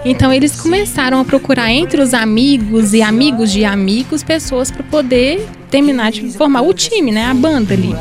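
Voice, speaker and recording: A woman's voice: high-pitched, animated, moderate pace, hardly any pauses, over music. A woman with a Brazilian Portuguese accent co-presenting a radio show in a playful, chatty way with jokey asides. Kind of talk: casual radio chat.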